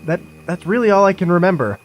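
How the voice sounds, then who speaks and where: An actor playing a sarcastic, excitable male sidekick and guide in an audio drama. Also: high-pitched, quick pace, slight background noise. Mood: snarky.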